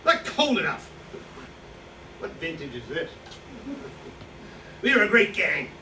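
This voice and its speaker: A man in a staged reading playing a boastful, defensive, hard-drinking old writer, rambling and halting as he justifies himself. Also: on the high side, big pitch swings, sharp tone, full character voice. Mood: nostalgic, animated. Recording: distant echoey room, noisy draft recording.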